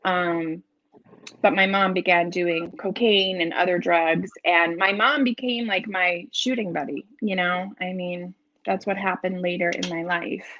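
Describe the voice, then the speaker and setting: A woman's voice: on the low side, fairly even intonation, unhurried, fairly bright tone, no echo, muffled recording. A middle-aged woman with a New England accent speaking frankly and emphatically as a guest in a candid conversational interview. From New England, United States. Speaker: interview guest, author in recovery.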